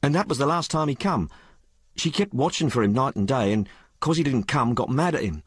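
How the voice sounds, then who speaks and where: A man voicing a rough, frank working-class young woman in an audiobook, blurting out her tale in colloquial, ungrammatical speech. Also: medium pitch, expressive, brisk, no echo, pitched above the performer's own voice. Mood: frank, gossipy. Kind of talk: audiobook character dialogue.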